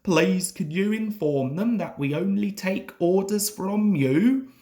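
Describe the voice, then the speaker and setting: A woman's voice: medium pitch, takes it slowly, warm tone, lightly acted. A woman voicing a proud, plucky male tank engine in a storybook, polite and self-assured. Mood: proud, earnest.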